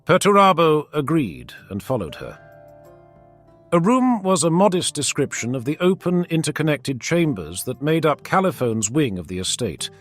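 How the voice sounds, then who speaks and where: A man narrating a scripted fantasy lore story in a dramatic, expressive reading. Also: fairly high pitch, normal speed. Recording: faint background music.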